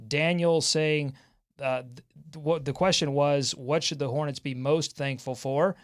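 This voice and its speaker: A man hosting a live sports talk show in a casual way, often halting as he searches for words. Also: a little high, fairly even intonation, clear, resonant tone. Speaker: sports podcast host.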